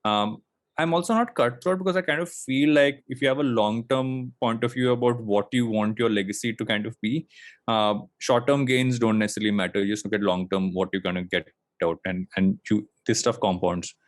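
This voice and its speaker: A man's voice: lowish voice, brisk, dry recording. A man in his late thirties from India, a podcast guest talking in a reflective, rambling way with lots of fillers and restarts. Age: late 30s. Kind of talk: casual interview chat.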